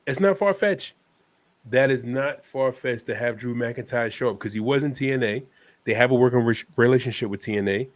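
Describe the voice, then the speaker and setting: A man's voice: mid-range voice, fairly quick, no echo. A man with a stuffy head cold hosting a laid-back wrestling talk show, tossing "man" into almost every line. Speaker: podcast host. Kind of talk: casual wrestling chat.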